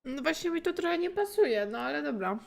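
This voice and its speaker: A woman's voice: sits high, big pitch swings, fast talker, vocal fry. A Polish woman giving casual live game commentary, chatty and informal as a streamer. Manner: chatty, informal, reacting playfully.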